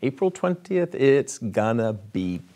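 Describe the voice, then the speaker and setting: A man chatting casually and excitedly during a sound check in a small studio, rambling with restarts, heard from a distance. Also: mid-range voice, slow. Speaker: show presenter doing a sound check. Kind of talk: casual off-air chat.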